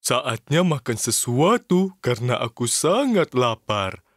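A man voicing an excitable, stubborn little mustached character in a children's cartoon, with eager, theatrical delivery. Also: medium pitch, a little slow, slight background noise. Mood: hungry, surprised. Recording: music behind the voice.

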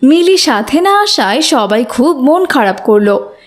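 A woman's voice: high voice, sing-song, unhurried. A woman reading scripted narration for a children's cartoon story.

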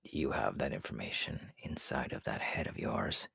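Very deep, gravelly demon king. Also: lively intonation, pushed and bright, no room sound, studio quality, heavily acted. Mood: commanding. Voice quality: gravelly, husky, breathy half-whisper. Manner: slow, deliberate, imperious. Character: menacing, scheming male demon king, haughty and cold. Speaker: voice actor. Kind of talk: ASMR audio roleplay.

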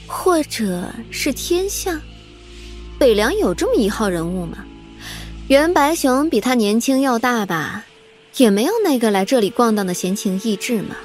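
A woman playing a bold, playful young princess in an audio drama, teasing and breezy. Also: sits high. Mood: curious, musing.

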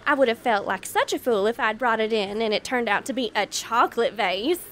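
A woman's voice: fairly high pitch, fairly quick, fairly bright tone, natural voice. A woman playing a sheepish, clueless antiques show guest in a comedy sketch.

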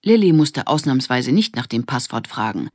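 A woman's voice: very deep voice, sing-song, moderate pace, darkish tone, breathy edge, no room sound, studio quality. A woman reading a scripted children's story as an audiobook narrator.

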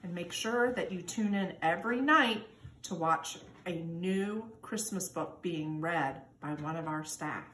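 A woman in her forties, a teacher, reading a children's storybook aloud in an expressive, singsong way. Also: lowish voice, a little slow. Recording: echoey room with background noise.

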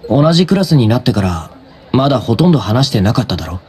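A man playing a blunt, laid-back teenage high school boy in a Japanese anime game, with casual, gruff, slangy phrasing. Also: sing-song, fast talker, darkish tone, natural voice. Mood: hesitant.

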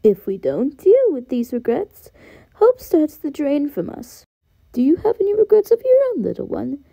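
Woman as an eerie, creaky bug confessor. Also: high voice, huge pitch swings, moderate pace, warm tone, no echo, heavily acted. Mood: mysterious, drowsy. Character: drowsy, eerie bug confessor, calm and cryptic. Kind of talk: fan dub of video game dialogue.

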